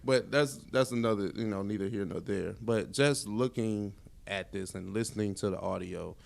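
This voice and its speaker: A man's voice: fairly low pitch, moderate pace, vocal fry. An American man co-hosting a podcast, talking casually with an American accent. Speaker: podcast co-host. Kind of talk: casual chat.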